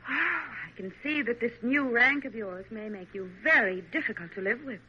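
An actress playing a president's refined, affectionate wife with a wry, teasing manner in a 1940s American radio drama. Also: female voice, fairly high pitch, lively intonation, normal speed, natural voice. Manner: wry, genteel, lightly teasing. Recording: muffled, noisy old radio recording.

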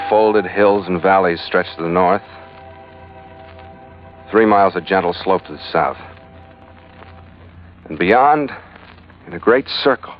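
An actor playing a tough, sardonic sea captain who narrates his adventure in terse, hard-boiled old radio style. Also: low voice. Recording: muffled vintage radio recording with loud music.

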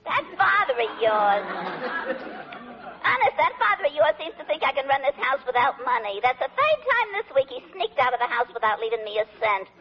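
An exasperated housewife in a vintage radio comedy. She scolds and complains in an extremely high voice that leaps up and down. The old recording is dull, with an audience behind it. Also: big pitch swings, brisk, a faint crowd. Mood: exasperated, scolding. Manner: nagging, complaining. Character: scolding, exasperated working-class housewife and mother. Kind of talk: 1940s radio sitcom acting.

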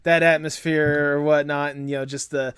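A man's voice: fairly high pitch, little pitch movement, moderate pace, fairly bright tone, smooth, no echo. Rambling man hosting a podcast, dry sound. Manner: rambling with many fillers and restarts. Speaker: podcast host. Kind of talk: casual podcast chat.

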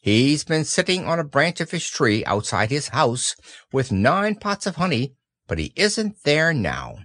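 A long-winded, self-important owl from a storybook, put on by a male reader. The voice sits high and swoops about. The delivery is deliberate and pompous, in a dry space. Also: big pitch swings, fairly bright tone, no echo, exaggerated voice. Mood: reassuring, explanatory.

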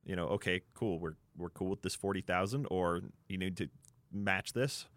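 Low-voiced man talking sports. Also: normal speed, fairly bright tone, dry recording. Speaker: sports talk panelist. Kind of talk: unscripted sports discussion.

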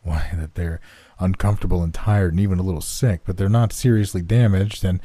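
A man voicing a polite, measured astronomer who asks careful questions. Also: low voice, fairly even intonation, dark tone, no room sound. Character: calm, measured male astronomer.

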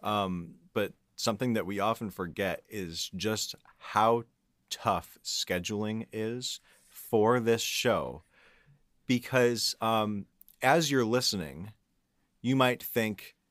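A man's voice: lowish voice, fairly bright tone, no echo, clean studio sound. A man chatting casually as a podcast host, thinking aloud in a conversational way. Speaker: podcast host and audio drama writer. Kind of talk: casual roundtable discussion.

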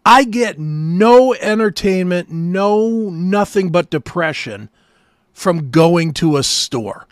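A man's voice: high-pitched, expressive, takes it slowly, no room sound, clean studio sound. An American man talking casually as a talk show co-host, blunt, profane and cantankerous. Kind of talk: casual chat, ranting.

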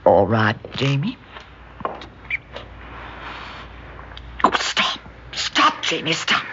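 A woman's voice: a bass voice, huge pitch swings, unhurried, pushed and bright, full character voice. An actress in an old-time radio drama playing a genteel, lonely shopkeeper who dotes on her cousin, fluttery and pleading in manner. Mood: pleading, hurt. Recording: dull noisy old radio recording.

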